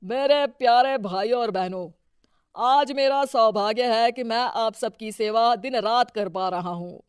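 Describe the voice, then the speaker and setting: A man plays a domineering husband who runs local politics in a cartoon moral story. He sounds self-important and bossy, with greed under the boasting. The voice is smooth and clear, in a very dry recording. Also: extremely high pitch, a little slow, no room sound. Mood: oratorical. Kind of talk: Hindi cartoon story dialogue.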